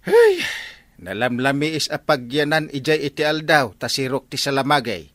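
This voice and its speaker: A man's voice: mid-range voice, big pitch swings, moderate pace, fairly bright tone, no room sound. A man with an Ilocano accent playing a lazy, stubborn village councilman in comedic radio drama, whining and drawling out his retorts. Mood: whiny, evasive.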